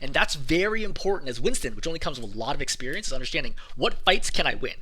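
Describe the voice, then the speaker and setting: A man breaks down his own gameplay like a coach, sounding a bit hoarse. He talks quickly with a bright, forceful edge, and his pitch jumps around as he explains each move. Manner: quick, animated explaining over footage. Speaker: game coach. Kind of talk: gameplay commentary.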